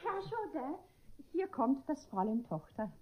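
An actress playing an excitable, quick-witted servant maid in a comic radio play, with a hurried, breezy manner. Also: female voice, high voice. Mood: brisk, urgent. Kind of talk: German radio play comedy acting. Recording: muffled radio drama recording.

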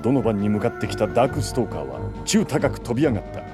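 A man giving measured, explanatory scripted narration for an audio drama. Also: medium pitch, lively intonation. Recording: loud music score behind.

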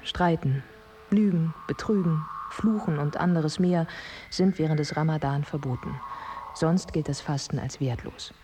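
An actress playing a thoughtful young woman, narrating in a measured first-person radio drama style. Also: deep voice, noisy background. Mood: reflective. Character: thoughtful young Baghdad woman recounting wartime life.

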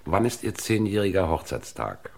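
Deep-voiced, clipped police inspector. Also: male voice, sing-song, moderate pace, creaky, exaggerated voice. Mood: probing, firm. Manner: clipped, commanding, matter-of-fact. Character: brisk, businesslike male police inspector investigating a hotel murder. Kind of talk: vintage German crime radio play acting.

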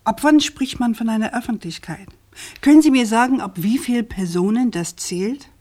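An actress playing an earnest, persistent journalist in a radio drama, speaking in an articulate, deliberate, insistent way. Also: female voice, on the high side, mellow, natural voice. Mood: insistent, challenging. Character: earnest, persistent woman journalist challenging an official.

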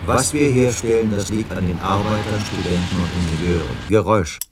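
An actor playing a sober engineer narrator in an experimental radio play, reciting disjointed statements matter-of-factly. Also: male voice, low voice, brisk, very noisy, lightly acted. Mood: declarative.

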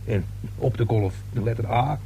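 An actor playing a nosy, grumbling working-class man in a radio drama, talking in a halting, colloquial, self-important way with a husky, gravelly voice. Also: mid-range voice, mellow, dull audio, a little hiss or hum, close to an everyday voice. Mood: indignant.

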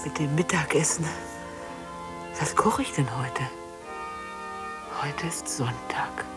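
A woman's voice: sits low, over loud music. An actress playing a lonely spinster in her forties, murmuring terse fragments to herself in a radio drama. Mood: absorbed, dreamy. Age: 40s. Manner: halting, terse fragments, murmured self-talk. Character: lonely spinster of forty-four, brooding over small routines. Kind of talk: radio drama interior monologue.

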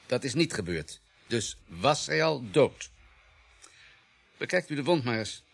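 A man's voice: mid-range voice, very animated, a little slow, gravelly, husky, heavily acted. A man acting in a radio drama as a matter-of-fact police pathologist with a precise, analytical manner. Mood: clinical, assured. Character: matter-of-fact male police pathologist, coolly reconstructing murders.

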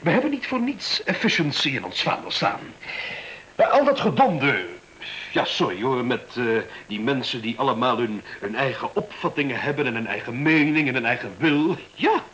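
Hissy old radio recording, smug male school director, bright forceful voice, lively swooping intonation, condescending, glib. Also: medium pitch, moderate pace, low-quality recording. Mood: condescending, scornful. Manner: condescending, glib, self-interrupting. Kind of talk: radio drama acting, conversational monologue.

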